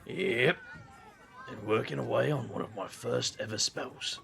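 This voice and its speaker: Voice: mid-range voice, measured pace, gravelly, fairly bright tone, a faint crowd, a little hiss or hum. An actor playing a wry, affectionate adventuring spellcaster in an audio drama, with a playful, rambling way of talking. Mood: reflective.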